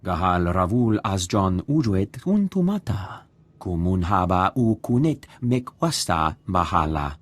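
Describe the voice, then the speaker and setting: A man voicing a husky alien dealer in a video game. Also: low-pitched, very animated, a little slow, dry recording, full character voice. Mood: animated.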